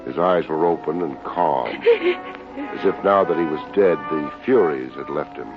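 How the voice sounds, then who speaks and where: An actor playing a wisecracking, unsentimental private investigator in an old radio detective drama. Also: male voice, very deep voice, huge pitch swings, unhurried, gravelly, music in the background, heavily acted. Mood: somber narrating. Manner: wry, hard-boiled, slangy. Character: wisecracking, unsentimental male private investigator narrating his case. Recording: dull, noisy old radio recording.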